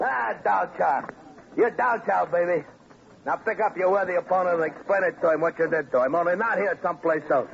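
A man's voice: sits high, brisk, gravelly, a little hiss or hum. An actor playing a gabby, motherly boxing gym boss with colorful, wisecracking talk in an old radio drama. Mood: excited urging. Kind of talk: 1950s radio crime drama acting. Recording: dull old radio recording, crowd behind.

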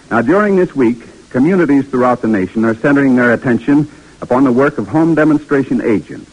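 A man giving a formal, measured scripted narration as the announcer of an old radio program. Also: medium pitch, breathy edge, highs cut off.

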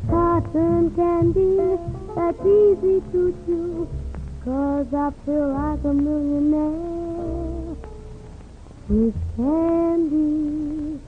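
A woman's voice: extremely high pitch, steady tone, extremely slow, over music. A nine-year-old girl singing swing-era children's blues on an old radio broadcast. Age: child, nine years old. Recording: dull band-limited old radio recording.

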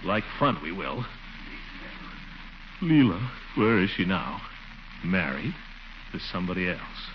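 An actor playing a lonely, self-pitying middle-aged uncle, muttering and grumbling to himself in a 1940s radio comedy style. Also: male voice, deep voice, big pitch swings, takes it slowly, pauses often, exaggerated voice. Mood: wistful, bitter. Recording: muffled old radio recording with music.

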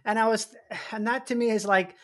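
A man, an actor, chatting in a relaxed way and musing through his questions. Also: high-pitched, a little fast. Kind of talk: casual chat.

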